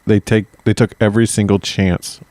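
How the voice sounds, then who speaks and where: A man with a fairly low voice co-hosts a history podcast, blunt and joking. He often acts out lines in other voices. His recording is close and dry. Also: little pitch movement, moderate pace, darkish tone, no room sound. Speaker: podcast co-host. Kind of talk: casual history podcast chat.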